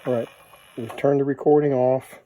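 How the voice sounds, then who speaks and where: A man's voice: mid-range voice, fairly even intonation, moderate pace, dark tone, a little hiss or hum, low-quality recording. Casual man demonstrating, thinking aloud. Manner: unscripted, thinking aloud while working. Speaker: vintage tape recorder hobbyist, presenter.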